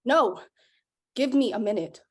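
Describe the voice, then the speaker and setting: A woman's voice: a little high, expressive, measured pace, a little mellow, slightly rough. Stubborn, chiding actress as a woman tinkerer. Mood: urgent, chiding. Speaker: actor in a live script reading. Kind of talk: dramatic script table read.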